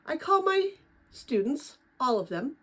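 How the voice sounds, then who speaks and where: An older woman, a retired teacher, with a chatty, animated way of talking, chatting informally and reading a children's book aloud. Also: sits high, unhurried. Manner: chatty, animated, rambling with personal asides. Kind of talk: informal chat and storybook read-aloud.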